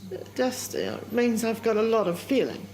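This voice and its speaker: A woman speaking openly and emotionally in an interview, halting now and then as she reflects. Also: a little high, big pitch swings, darkish tone, a little hiss or hum, rough recording. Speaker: project participant. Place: near a park in New Zealand.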